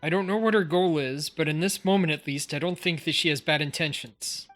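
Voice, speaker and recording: Live game streamer, bright forceful tone, casual wry asides and read-aloud narration, faint game music behind. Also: medium pitch, a little fast. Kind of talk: live game commentary and reading game text aloud.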